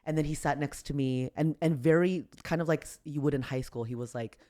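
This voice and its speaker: A woman with a deep voice, a comedian guest telling a story in casual podcast chat, relaxed and low-key. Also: brisk. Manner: relaxed, low-key, acts out quotes with raised voice.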